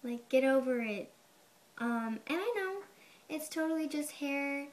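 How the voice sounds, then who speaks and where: A young woman with a high, girlish voice talks straight into a webcam in her bedroom. She sounds chatty and a little defensive, filling her sentences with "like." Her pitch sits above that of most women. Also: animated, unhurried. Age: young adult. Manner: chatty, defensive, says "like" a lot. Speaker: vlogger. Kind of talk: casual to-camera explanation. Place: bedroom, indoors. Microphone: webcam at desk.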